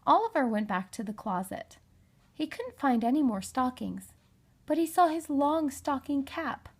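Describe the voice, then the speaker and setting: A woman narrating a children's storybook in an expressive read-aloud style. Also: high voice, measured pace. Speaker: storybook reader.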